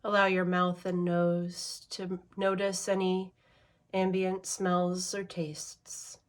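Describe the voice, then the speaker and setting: A woman with a fairly low voice leads a guided meditation. She speaks very slowly, in short measured phrases, and leaves long pauses between them. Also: completely flat delivery.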